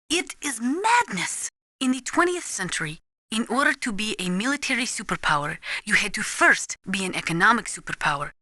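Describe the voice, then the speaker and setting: A woman playing an earnest, resolute Ukrainian weapons analyst in a video game, speaking gravely and deliberately as if lecturing. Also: on the high side, bright, forceful tone, dry recording, studio quality, full character voice. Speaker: military advisor briefing a soldier. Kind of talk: dramatic video game voice acting.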